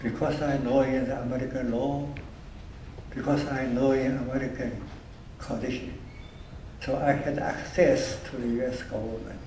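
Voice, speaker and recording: An older man with a heavy Chinese accent, speaking in halting, broken English as an award honoree, repeating words as he goes. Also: mid-range voice, level tone, mellow. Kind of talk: speech with audience Q&A. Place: event hall. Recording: echoey room from far off, faint noise.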